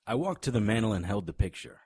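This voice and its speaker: A very crisp, low-pitched male voice for a hard-boiled noir monster hunter in an audio drama. He is brooding and world-weary, telling his story in deliberate, clipped phrases. The sound is dry and close. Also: very animated, a little mellow, no echo. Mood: reflective.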